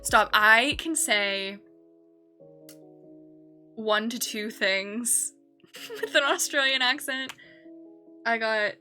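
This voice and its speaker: A young woman with a slightly high, bright and forceful voice rambles casually as she streams, full of fillers and quick self-corrections. Soft music plays faintly behind her. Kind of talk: casual chat while playing a building game.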